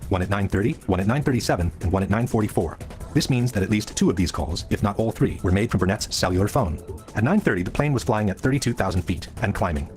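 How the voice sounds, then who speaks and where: A man reading scripted documentary narration in a measured, expository manner, sounding sped up. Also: fairly low pitch, darkish tone. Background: background music.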